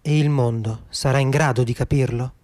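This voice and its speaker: A man's voice: mid-range voice, steady tone, normal speed, darkish tone, no echo. A man announcing a radio drama with a theatrical, scripted serial-style narration. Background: music.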